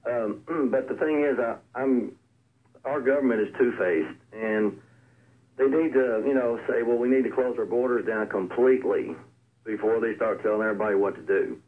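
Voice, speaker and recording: Hoarse male caller on a phone line. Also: medium pitch, fairly even intonation, normal speed. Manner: rambling, aggrieved. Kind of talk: call-in opinion. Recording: tinny phone line on radio.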